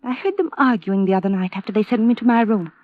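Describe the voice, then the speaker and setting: A woman's voice: medium pitch, lively intonation, quick pace, no echo, lightly acted. A woman playing a bitter, distraught young stepdaughter in an intense, petulant, overwrought old radio drama style. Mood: distressed recounting. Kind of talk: 1940s-50s radio drama acting. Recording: dull vintage radio recording.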